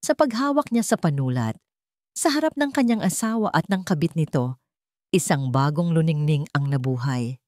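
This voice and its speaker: A woman narrating a dramatic family story in a slow, scripted storytelling read. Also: low voice, dry recording, clean studio sound. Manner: slow, dramatic, even reading.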